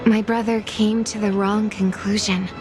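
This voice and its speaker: An actress voicing an earnest young woman who speaks calmly and sincerely about her brother, in a game scene with music behind her. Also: medium pitch, moderate pace, natural voice. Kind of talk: game voice acting. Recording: game dialogue over music.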